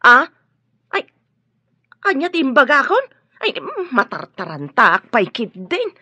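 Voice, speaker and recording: A woman playing a nosy, easily flustered household servant in an audio drama, blunt and excitable. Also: sits high, a little slow, breathy edge, no echo, exaggerated voice. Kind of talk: Ilocano audio drama acting.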